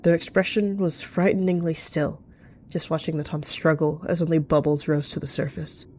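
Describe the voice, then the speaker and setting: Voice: mid-range voice, fairly even intonation, moderate pace, darkish tone, a little hiss or hum. A person narrating a scripted animal roleplay story as the storyteller. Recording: music behind the voice. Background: music.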